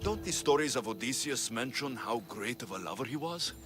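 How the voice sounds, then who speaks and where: A man acts a Greek mercenary hero for a video game. He is cocky, flirtatious and smooth-talking, with a medium male pitch. Music is clearly audible behind his bold lines. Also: expressive, fairly quick, lightly acted. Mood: teasing. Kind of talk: video game cutscene acting.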